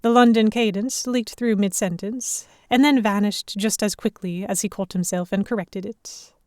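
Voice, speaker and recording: A woman playing a sharp-tongued young lady hostage among pirates, narrating her own story in an expressive, introspective way. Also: medium pitch, no room sound, studio quality, lightly acted. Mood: teasing. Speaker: narrator and lead character. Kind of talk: first-person audio drama narration.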